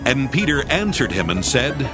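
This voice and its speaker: A male narrator tells a scripture story in a scripted dramatic style. His mid-pitched voice rises and falls freely, with music clearly audible underneath. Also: big pitch swings, moderate pace.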